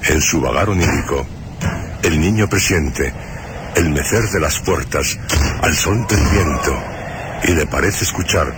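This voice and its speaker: Extremely deep, solemn male radio narrator. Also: lively intonation, very noisy. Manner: solemn, measured phrasing. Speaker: radio drama presenter and narrator. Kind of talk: slow dramatic literary narration. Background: music.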